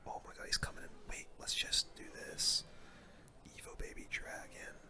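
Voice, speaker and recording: A video game streamer giving casual ASMR gameplay commentary in a soft, breathy whisper, with relaxed gamer slang. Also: moderate pace. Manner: casual gamer slang, murmured reactions to play.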